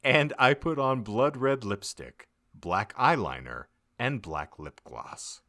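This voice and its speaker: A man narrates a comic audiobook at an unhurried pace. His delivery is theatrical and deliberate, with pitch that swings widely from phrase to phrase. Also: expressive, bright, forceful tone, dry recording, studio quality. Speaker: podcast host reading fan fiction aloud.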